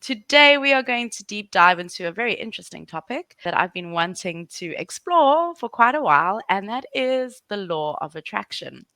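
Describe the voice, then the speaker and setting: A woman's voice: mid-range voice, very animated, normal speed, hardly any pauses, bright, forceful tone, no room sound. A woman hosting a show, opening with a polished introduction and then asking interview questions. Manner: upbeat, polished presenter delivery. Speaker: podcast host.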